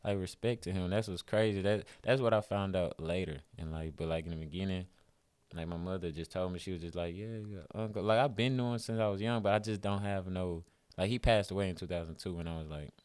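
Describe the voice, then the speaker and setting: A man's voice: deep voice, fairly even intonation, quick pace, a little mellow, clear, resonant tone, no echo. A man in his twenties with a Houston accent, chatting casually as a guest in an interview, laid-back and rambling with lots of fillers. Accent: Houston, Texas. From Houston, Texas. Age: 20s. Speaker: guest musician.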